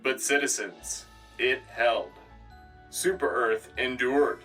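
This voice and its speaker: A man's voice: mid-range voice, slow pace, pushed and bright, distant mic, soft background music. A man playing an earnest, patriotic wartime radio anchor, delivering a solemn, declamatory scripted newscast. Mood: solemn, rousing. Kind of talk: scripted propaganda newscast narration.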